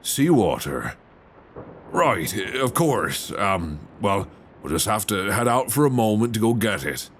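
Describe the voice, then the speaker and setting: A man playing a weary, wry old nineteenth-century lighthouse keeper with halting, folksy speech in a suspense audio roleplay, close on a binaural mic. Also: fairly low pitch, sing-song, fairly bright tone, slight background noise. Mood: kindly, coaxing. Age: older. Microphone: close binaural microphone.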